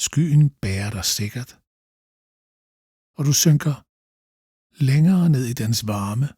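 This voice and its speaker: A man leads a guided meditation in a dark, mellow voice with a breathy edge. His delivery is slow and calm, with gentle instructions and long pauses. The sound is close and dry. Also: mid-range voice, dry recording.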